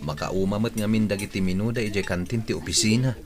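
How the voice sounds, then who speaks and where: A very low actor's male voice plays a troubled older brother who works in an office. He is protective, speaks with an Ilocano accent and performs in radio drama style. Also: quick pace, loud music behind the voice, natural voice. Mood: casual, indignant.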